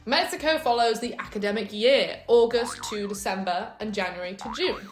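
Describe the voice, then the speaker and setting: A woman's voice: a little high, moderate pace, soft background music. Upbeat woman co-hosting, roomy echo. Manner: upbeat, laughs often, counts off points. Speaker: co-host. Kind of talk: casual scripted chat.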